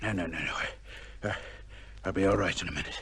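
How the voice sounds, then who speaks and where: An actor playing a cold, ruthless, vengeful son with a theatrical, taunting manner in an old-time radio drama. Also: male voice, low-pitched, moderate pace, sharp tone, husky, exaggerated voice. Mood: pained, breathless. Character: bitter, vengeful illegitimate son, cold, ruthless, with heart trouble.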